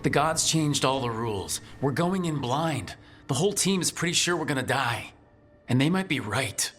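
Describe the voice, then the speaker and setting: A man's voice: medium pitch, lively intonation, fairly bright tone, faint music behind, close to an everyday voice. Actor as a resolute young male hero. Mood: burdened. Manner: earnest, measured, determined. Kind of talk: dramatic video game acting.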